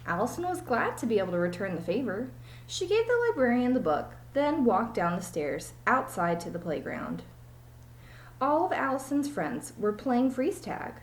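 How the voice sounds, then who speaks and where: A woman reads a children's storybook aloud like a teacher. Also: mid-range voice. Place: small home room, indoors. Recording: echoey room, webcam mic.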